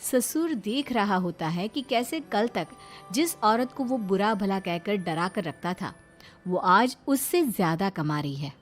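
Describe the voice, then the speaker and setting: A woman's voice: mid-range voice, lively intonation, a little slow. A woman reading a scripted narration of a family moral story. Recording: faint background music.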